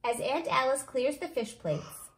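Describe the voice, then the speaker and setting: A woman with a fairly high, very lively voice reads a children's storybook aloud. She narrates expressively, like a read-along host, and the room around her is echoey. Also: a little slow. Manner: animated, acts out dialogue.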